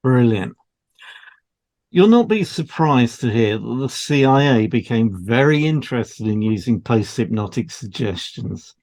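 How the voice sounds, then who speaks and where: A man's voice: medium pitch, lively intonation, measured pace, a little mellow. Man reading an educational podcast script. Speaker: podcast co-host, hypnotherapist.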